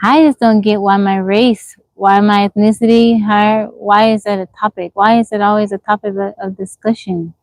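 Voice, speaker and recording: A woman's voice: medium pitch, fairly even intonation, moderate pace, few pauses, a little mellow, no echo, low-quality recording. A woman in her forties hosting a casual livestream from the woods, reading viewer comments and answering frankly. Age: 40s. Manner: frank, questioning, repeats herself. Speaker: livestream host. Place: outdoors in the woods.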